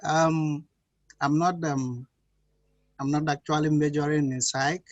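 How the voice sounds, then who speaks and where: A man's voice: fairly high pitch, little pitch movement, takes it slowly, no echo, highs cut off. A young man from Nigeria, a student introducing himself on a video call, hesitant and apologetic with many fillers. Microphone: computer mic on video call.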